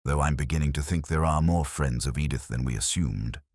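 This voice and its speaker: Man playing a very deep, patient police inspector. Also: a little mellow, no echo. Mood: thoughtful. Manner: understated, dry, measured. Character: patient, dryly witty male police inspector.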